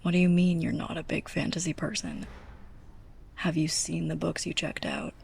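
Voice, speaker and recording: A young woman playing a sarcastic, scolding, snobbish librarian's assistant in a close-up ASMR roleplay, breathy and often near a whisper. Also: low voice, sing-song, normal speed, a little mellow, slight background noise, full character voice. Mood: incredulous, sarcastic. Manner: sarcastic, exasperated, pointed delivery.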